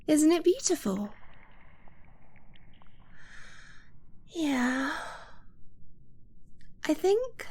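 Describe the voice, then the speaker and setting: A woman acts out a tender dragon-blooded girlfriend in a romantic ASMR roleplay. Her voice is high, soft and breathy, often near a whisper. She speaks extremely slowly, with a gentle, nervous hesitancy and close affection. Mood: tender, playful. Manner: slow, gentle, hesitant, intimate.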